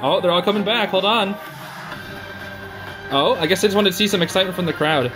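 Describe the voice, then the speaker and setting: A young man giving casual, excitable, slangy reaction commentary as a video host. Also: sits high, brisk. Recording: music playing behind the voice. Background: stage show music.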